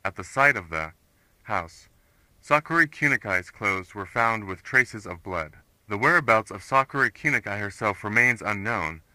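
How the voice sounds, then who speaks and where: A man playing a grim retired investigator, reciting a horror case report in a flat, formal manner. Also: fairly low pitch, normal speed, no room sound. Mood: grim, detached. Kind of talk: scripted narration, analog horror case report.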